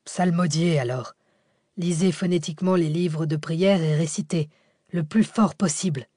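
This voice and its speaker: A woman voicing a bossy, curt man giving hushed orders during a desperate escape. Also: on the high side, normal speed, no room sound, studio quality, natural voice. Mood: curt, commanding. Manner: commanding, hushed.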